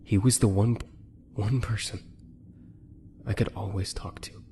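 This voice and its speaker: A man's voice: lowish voice, steady tone, measured pace, warm tone, close to an everyday voice. A man playing a bitter, grieving young cadet in a fan anime drama, brooding and heavy-hearted as he speaks. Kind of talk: fan dub anime parody acting. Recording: faint background noise.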